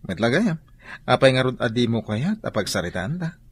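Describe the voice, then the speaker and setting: A man's voice: medium pitch, sing-song, normal speed, breathy edge. A man acting in an Ilocano radio drama as an inquisitive boss who coaxes and persists. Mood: coaxing.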